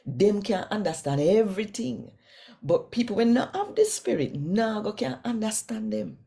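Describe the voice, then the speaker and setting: A Jamaican woman reads scripture in Patois at a very unhurried pace. Her voice is low and mellow, and she places deliberate emphasis on her phrases. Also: gravelly. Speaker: scripture reader and host of a daily reading.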